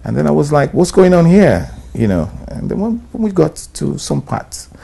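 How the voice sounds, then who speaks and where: A middle-aged Nigerian man, an actor, talking in a sit-down interview, relaxed and hesitant, with many "um"s and "you know"s. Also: fairly high pitch, normal speed, gravelly, slightly rough. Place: bright studio room, indoors. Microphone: lapel.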